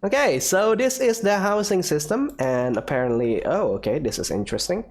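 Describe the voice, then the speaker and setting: Female gaming host with a low voice, giving informal play-along commentary. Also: animated, normal speed, a little mellow, slight background noise. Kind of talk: casual gameplay commentary.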